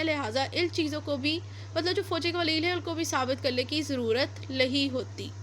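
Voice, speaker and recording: Very high-pitched Pakistani woman lecturing. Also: a bit bright, slight background noise. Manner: explanatory, switches between Urdu and English. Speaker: law lecturer. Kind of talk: lecture, reading statutes then explaining them.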